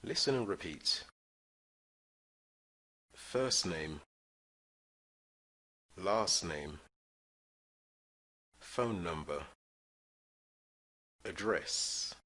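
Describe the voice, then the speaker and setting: A man teaching English, reading short phrases slowly and clearly for listeners to repeat. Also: low-pitched, sharp tone. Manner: clear drill-style reading of phrases for repetition. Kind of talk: slow scripted language lesson.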